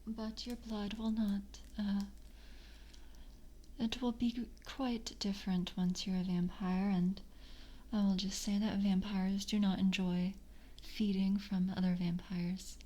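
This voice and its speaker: A woman acts out a courteous, hungry vampire of the coven in an ASMR roleplay. She speaks in a hushed, airy whisper with a soft, dark tone. Her delivery is slow, deliberate and formal, with a seductive intimacy. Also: mid-range voice, flat delivery. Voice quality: breathy, mostly whispered.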